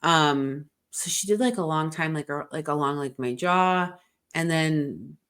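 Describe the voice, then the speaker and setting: A woman's voice: deep voice, unhurried, a bit bright, clean tone. A woman co-hosting a podcast, chatting frankly and rambling with lots of "like" and swearing, sounding congested. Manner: rambling and frank, swears often, heavy "like" filler. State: congested from a sinus infection. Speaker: podcast co-host. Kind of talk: casual chat.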